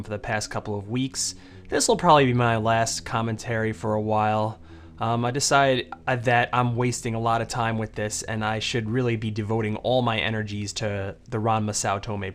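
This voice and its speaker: A man's voice: mid-range voice, slight background noise. A man talks through his old videos in a loose, unscripted way. He is still getting over a cold and has a slight cough. He rambles, stops to say um, and restarts his sentences often. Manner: rambling, hesitant, many ums and restarts. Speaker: video commentator. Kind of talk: casual spoken commentary.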